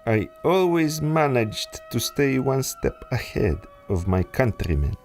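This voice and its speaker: A man's voice: mid-range voice, sing-song, darkish tone, soft background music. A man playing a silky villain scientist. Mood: silky menace. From Russia. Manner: smooth, silky, menacing, slow and deliberate. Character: suave treacherous male scientist villain, exiled aristocrat. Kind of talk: pulp adventure audio drama.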